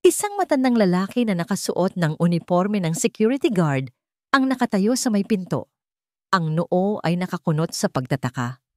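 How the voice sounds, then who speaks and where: A woman's voice: fairly low pitch, very animated, moderate pace, dark tone, dry recording, clean studio sound. A woman with a Filipino accent narrating a scripted dramatic story.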